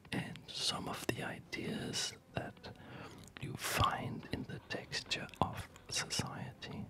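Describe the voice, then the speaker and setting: Slow, soft ASMR whisperer. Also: poor audio. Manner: reflective, hesitant, meandering. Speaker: ASMR gaming video host. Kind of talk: ASMR, unscripted rambling over gameplay. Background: music.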